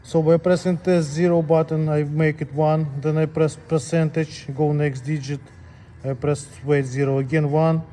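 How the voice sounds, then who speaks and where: Higher-voiced man giving step-by-step instructions, mellow tone. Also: little pitch movement, moderate pace, faint music behind. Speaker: scale technician demonstrating calibration. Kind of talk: hands-on tutorial, unscripted step-by-step instructions.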